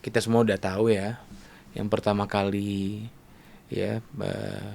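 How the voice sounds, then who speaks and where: A man's voice: lowish voice, level tone, unhurried, vocal fry, darkish tone. A middle-aged man hosting a casual interview, chatting informally and checking in with tag words. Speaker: podcast host.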